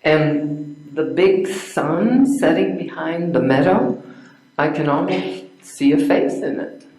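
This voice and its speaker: An older woman playing a bookish, sharp-tongued young lady in amateur readers theater, with a dry, deliberate delivery. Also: deep voice. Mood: hesitant. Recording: echoey room recording.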